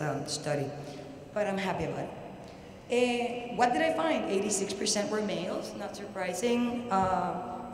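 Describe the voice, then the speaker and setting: Puerto rican woman giving a conference talk, halting and emphatic, big hall reverb, some noise. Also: medium pitch. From Puerto Rico. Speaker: researcher presenting at an academic conference. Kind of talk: academic lecture. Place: large indoor university hall, at a podium. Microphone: podium microphone. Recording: very echoey hall, audible background noise.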